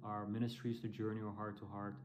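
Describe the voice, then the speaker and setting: A man from the Netherlands with a Dutch accent, a ministry founder introducing himself to camera, speaking haltingly with many fillers and restarts. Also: lowish voice, monotone.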